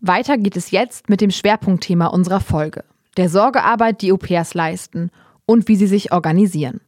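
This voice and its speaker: A woman's voice: medium pitch, normal speed, no room sound. Woman reading podcast news, dry close sound. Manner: even, read-aloud delivery. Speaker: podcast host. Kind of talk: scripted news reading, then interview.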